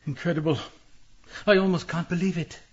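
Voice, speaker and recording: An actor playing an older, genteel publisher in a radio mystery, formal, smug and easily flustered, with a pompous turn of phrase. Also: male voice, fairly high pitch, steady tone, fairly quick, husky, muffled recording. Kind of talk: radio mystery drama acting.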